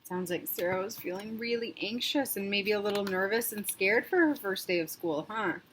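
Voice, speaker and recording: Gentle woman teacher reading aloud. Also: mid-range voice, normal speed, slight background noise, poor audio. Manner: gentle, asks the children questions. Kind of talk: read-aloud for children.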